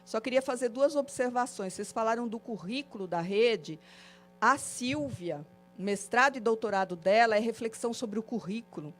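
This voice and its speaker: A woman leading a citizenship training session, lecturing and listing points in a steady rhythm, often adding a questioning filler. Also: on the high side, moderate pace, a little hiss or hum. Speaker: course facilitator. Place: meeting room of a training course.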